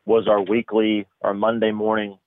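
A man's voice: fairly low pitch, monotone, normal speed, no room sound. A man, a radio station manager, speaking earnestly on a phone line during a casual radio chat. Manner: earnest, praising. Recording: tinny dry phone line.

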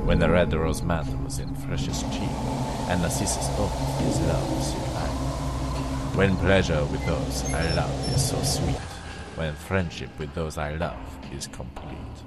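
A man's voice: extremely low pitch, steady tone, unhurried, very noisy. An actor playing a lovestruck young man who recounts his past in florid, archaic English, declaiming like a storyteller in an audio drama. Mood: lyrical. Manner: declamatory, florid, old-fashioned diction. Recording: loud music bed under the voice.

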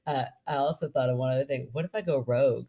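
A woman in her forties co-hosting a podcast in casual chat, an animated storyteller who laughs often. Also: a bass voice, quick pace. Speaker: podcast co-host.

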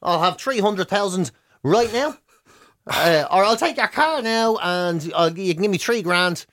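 A man's voice: sits high, expressive, unhurried, fairly bright tone, no room sound, clean studio sound. An Irish man co-hosting a radio show with comic, casual banter, mocking and rambling in colourful slang.

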